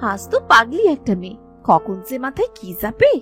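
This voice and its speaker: Woman voicing a high, expressive cartoon elder sister. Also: fairly quick, soft background music. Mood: brisk, fussing. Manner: expressive, fussing, motherly. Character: caring, scolding elder sister, poor young village woman. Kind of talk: animated moral story voice acting.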